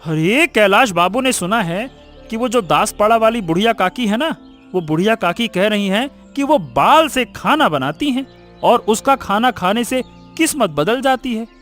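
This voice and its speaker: A man plays a cartoon village shopkeeper with an extremely high voice. He is anxious and gossipy, excitable and prone to repetition, over a light music bed. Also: measured pace. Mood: gossipy, excited. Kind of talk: scripted cartoon story acting.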